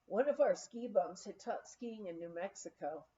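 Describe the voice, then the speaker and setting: A woman's voice: mid-range voice, expressive, normal speed, mellow, dull audio. A woman reading a memoir aloud as scripted narration, in a storytelling manner, like an amateur audiobook reader.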